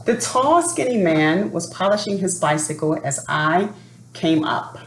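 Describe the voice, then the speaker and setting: A woman reading a children's picture book aloud in an expressive, unhurried manner. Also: on the low side. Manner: expressive, unhurried, adds asides to listeners. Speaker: storybook reader. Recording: roomy echo, faint background noise.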